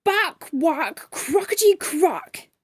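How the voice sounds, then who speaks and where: A woman voicing a posh, bad-tempered gentleman biscuit in a children's storybook, pompous and blustering. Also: extremely high pitch, big pitch swings, extremely slow, no room sound, studio quality, pitched above the performer's own voice, exaggerated voice. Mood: furious. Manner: pompous, blustering, clipped upper-class phrasing.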